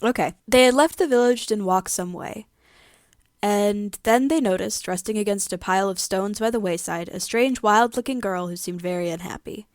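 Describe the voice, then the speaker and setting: A woman's voice: medium pitch, normal speed, no room sound. Woman co-hosting a casual chat, dry sound. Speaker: podcast co-host. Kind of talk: casual chat, brief replies and short read passages.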